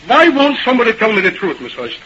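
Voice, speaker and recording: Man playing a high-strung blustering studio boss, old radio. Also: fairly quick, lightly acted. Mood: exasperated. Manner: indignant, emphatic, self-questioning. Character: blustering, exasperated male Hollywood studio president. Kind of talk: 1930s-40s radio drama acting. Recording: old noisy, muffled radio recording.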